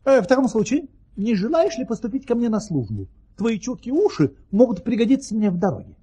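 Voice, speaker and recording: Casting: jovial boastful baron, high voice, swooping intonation, grand storybook audio-play delivery over music. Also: male voice, very animated, brisk, mellow, husky, heavily acted. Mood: delighted, inviting. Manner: grand, exclamatory, courteous. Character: jovial boastful baron recounting his tall-tale travels.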